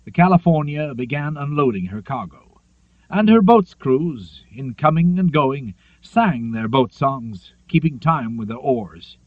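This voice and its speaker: A man reading a literary seafaring memoir as an audiobook, in a measured, expressive storytelling manner. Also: medium pitch, no room sound. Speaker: audiobook narrator. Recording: narrow phone-like lo-fi sound.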